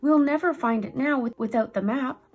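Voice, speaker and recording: A woman voicing a boastful, excitable male mouse cousin who squeaks and teases. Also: moderate pace, vocal fry, slightly rough, faint music behind. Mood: sobbing. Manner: squeaky, teasing.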